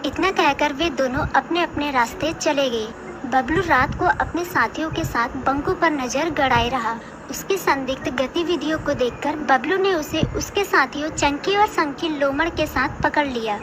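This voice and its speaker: A woman with a high voice narrates a children's animal tale. She delivers scripted storybook narration as the storyteller. Clear noise is audible behind her. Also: moderate pace.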